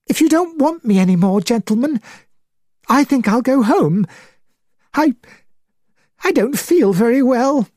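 A man voicing an excitable, shocked middle-aged schoolteacher with a high voice in a storybook reading. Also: unhurried, pauses often, dry recording, clean studio sound, exaggerated voice. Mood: shaken, fearful. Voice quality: high-pitched. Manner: excited, anxious.

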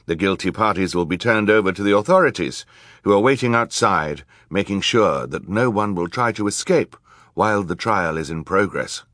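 A man's voice: lowish voice, animated, moderate pace, fairly bright tone, dry recording, clean studio sound. A man voicing a stern, authoritative woman judge with a formal, commanding manner in an audiobook. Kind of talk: audiobook character acting.

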